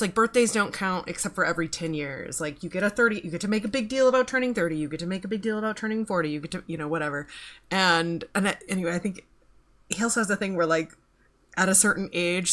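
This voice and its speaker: A woman's voice: mid-range voice, bright, forceful tone. A woman in her thirties chatting casually on a stream while she works, rambling and swearing offhandedly as she reads out the chat. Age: 30s.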